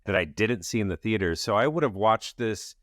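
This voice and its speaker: Upbeat low-voiced male podcast host. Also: expressive, a little fast, no echo, clean studio sound. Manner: upbeat, enthusiastic. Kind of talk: podcast hosting. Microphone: studio mic.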